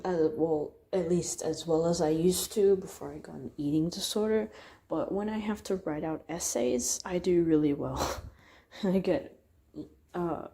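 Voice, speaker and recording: A nervous woman vlogging casually from a hospital room, hesitant and apologetic with many fillers, sometimes dropping into soft whispered asides. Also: low voice. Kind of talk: casual talk to camera.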